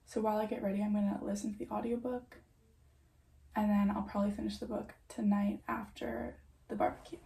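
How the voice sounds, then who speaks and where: A woman's voice: medium pitch, little pitch movement, fairly quick, gravelly, dark tone. A young woman chatting casually at home in a book vlog, full of "like" and "um" fillers. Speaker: book vlogger. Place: at home in front of a bookshelf.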